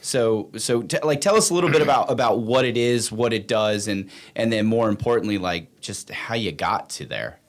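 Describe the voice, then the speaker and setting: A man's voice: fairly low pitch, normal speed, hardly any pauses. A man hosting a podcast in a casual, conversational way, rephrasing his questions as he goes. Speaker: podcast host.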